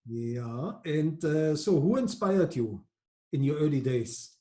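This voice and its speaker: A man's voice: on the high side, animated, slow pace, warm tone. A man hosting an interview, asking short, polite questions. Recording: roomy echo.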